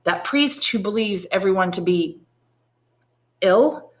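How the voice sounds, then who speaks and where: A woman's voice: mid-range voice, lively intonation, slow pace. A woman voicing an earnest young male prisoner in an audiobook, eager and questioning. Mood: curious. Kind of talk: dramatised audiobook reading of a classic novel.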